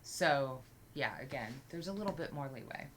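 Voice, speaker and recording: A woman with a low voice co-hosting a music reaction, chatting casually and explaining background details. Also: expressive, moderate pace, a little hiss or hum. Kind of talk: casual chat.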